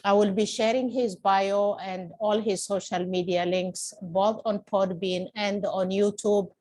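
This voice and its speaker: A woman's voice: mid-range voice, fairly even intonation, a little slow, darkish tone, poor audio. Female podcast host, mid-range voice, friendly interviewer. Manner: friendly, prompting questions. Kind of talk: casual interview.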